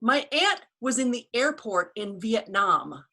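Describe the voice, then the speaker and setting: A woman's voice: on the high side, very animated, measured pace. A woman from the United States hosting a casual video call chat, telling stories with frequent laughter. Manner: chatty storyteller, warm laughs. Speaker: host.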